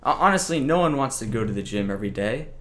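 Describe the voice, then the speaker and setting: A man hosts an interview in a casual way, at a mid pitch for a man. He affirms his guest and then asks open questions. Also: animated, quick pace, fairly bright tone. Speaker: interview host.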